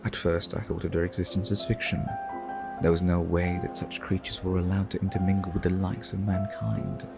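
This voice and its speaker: Suave, low, gravelly vampire gentleman acted by a man. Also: little pitch movement, over music. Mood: courtly, musing. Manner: formal, courteous, measured, flirtatious. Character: suave, refined male vampire art collector. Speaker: ASMR roleplay performer. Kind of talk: ASMR roleplay.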